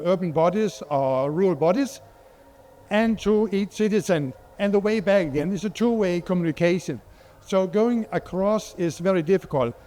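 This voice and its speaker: A man's voice: high-pitched, darkish tone. A middle-aged man from Denmark, a non-native English speaker, giving a conference presentation as a water expert, hesitant with many fillers and restarts. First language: Danish.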